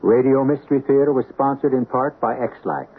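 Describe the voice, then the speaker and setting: A man's voice: mid-range voice, normal speed, breathy edge. A man reading scripted radio announcements as an announcer. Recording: dull old radio broadcast.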